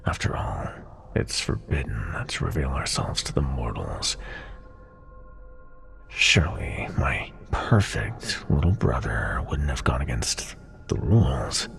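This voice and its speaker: Predatory male prince of nightmares for audio roleplay: breathy, creaking whisper, drawn-out and mock-adoring, music underneath. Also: rumbling low, big pitch swings, fairly bright tone, pitched below the performer's own voice, heavily acted. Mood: menacing, adoring. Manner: slow, gloating, mock-affectionate purr. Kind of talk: ASMR roleplay acting.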